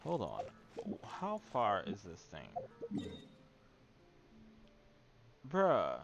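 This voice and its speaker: A man's voice: fairly low pitch, huge pitch swings, extremely slow, creaky, slight background noise. A man casually commentating on his live game stream, slangy and muttering to himself. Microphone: streamer's home setup with headphones.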